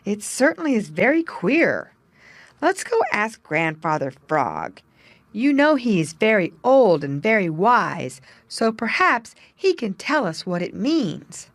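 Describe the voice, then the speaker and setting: A woman's voice: medium pitch, very animated, takes it slowly, dry recording, voice pushed up. A woman voicing a young male muskrat who talks earnestly in a slightly raised voice.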